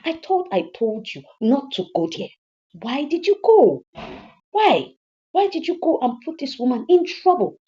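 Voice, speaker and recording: A woman's voice: medium pitch, very animated, a little fast, dark tone, dull audio, pitched above the performer's own voice, natural voice. A woman voicing a stern, principled, scolding mother in a story, firmly repeating her admonitions.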